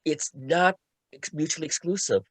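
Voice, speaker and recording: A man talking casually as a participant and organizer in a panel discussion on a video call, often halting and restarting his words. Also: fairly high pitch, fairly bright tone, no room sound.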